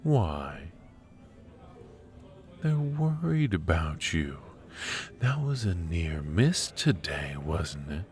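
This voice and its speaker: A man playing a silky, mocking dark warlord in an intimate ASMR roleplay, with theatrical, taunting, drawn-out delivery. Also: deep voice, big pitch swings, soft background music, exaggerated voice. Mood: taunting, insinuating. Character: dark male warlord villain, silky, mocking, menacing dream invader. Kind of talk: ASMR roleplay, intimate villain monologue addressed to the listener.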